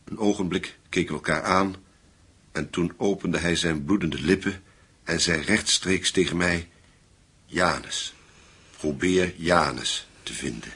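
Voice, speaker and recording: An actor playing a grave German investigator in a language radio drama, with a gravelly, husky voice. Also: male voice, sits low, measured pace, bright, forceful tone, exaggerated voice. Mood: grave, reflective. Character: grave German man investigating a wartime secret.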